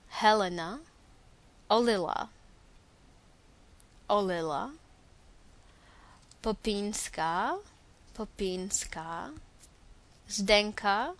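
Slow, careful Slovak woman. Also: mid-range voice, a bit bright. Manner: careful, slow, repeats each word twice. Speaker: dialect archive speaker. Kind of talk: reading names aloud for a pronunciation recording.

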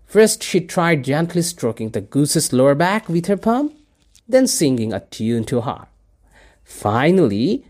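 A man from Bangladesh whose first language is Bengali, speaking English with a Bangladeshi accent as he reads a set passage aloud with careful, deliberate delivery. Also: medium pitch, darkish tone. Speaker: dialect archive speaker. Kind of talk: reading a set passage, then speaking about his culture.

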